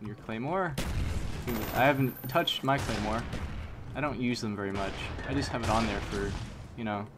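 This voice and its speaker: A man giving live commentary on his shooter gameplay, chatty and talking to himself, sometimes yelling at the game, his voice getting strained. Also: mid-range voice, normal speed, heavy background noise. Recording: home mic under loud game gunfire.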